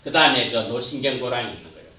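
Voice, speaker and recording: Male lecturer, lively pitch, muffled distant room recording. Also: racing, echoey room. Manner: points at slides, trails off at phrase ends. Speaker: anatomy lecturer. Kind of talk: classroom lecture.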